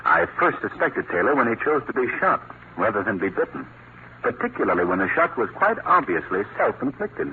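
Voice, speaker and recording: An actor playing a suave, self-assured gentleman detective, measured and urbane, in an old-time radio drama. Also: mid-range voice, big pitch swings, phone-quality sound. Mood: calm, explanatory. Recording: phone-thin vintage radio, faint noise.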